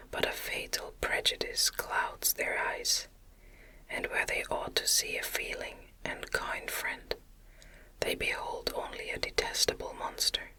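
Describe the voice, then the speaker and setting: Whispering, lonely, eloquent monster acted by a woman. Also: sharp tone. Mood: sorrowful, wronged. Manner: formal, earnest, literary phrasing. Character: anguished, pleading male monster, eloquent and lonely. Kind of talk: whispered ASMR audiobook reading.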